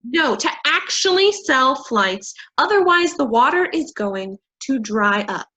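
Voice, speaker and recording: A woman's voice: high-pitched, sing-song, unhurried, pushed and bright, full character voice. An actress playing an exacting, scornful woman boss who lectures emphatically in a staged play reading. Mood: stern.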